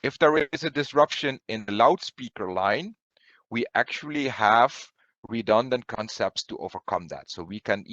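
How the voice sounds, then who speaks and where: Low-voiced man presenting in dull, roomy audio. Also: fairly bright tone. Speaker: product presenter. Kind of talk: webinar product presentation.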